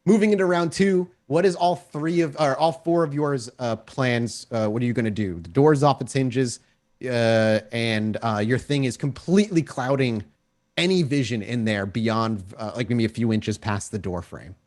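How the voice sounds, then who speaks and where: A man's voice: medium pitch, normal speed, darkish tone. A man running a tabletop role-playing game, improvising descriptive narration in a conversational way as storyteller. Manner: descriptive, conversational, checks in with players.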